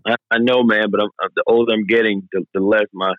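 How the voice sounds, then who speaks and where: Hoarse, raspy low-voiced man. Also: fast, no room sound, phone-quality sound. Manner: animated and emphatic, says "man" a lot. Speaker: podcast co-host. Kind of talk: casual sports chat.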